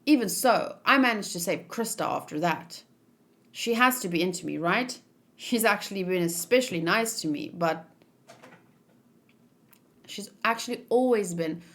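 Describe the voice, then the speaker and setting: A woman's voice: mid-range voice, expressive, moderate pace, long pauses, a bit bright. A woman voicing a weary young male soldier in a manga, cocky and oblivious, complaining in a casual, self-satisfied way.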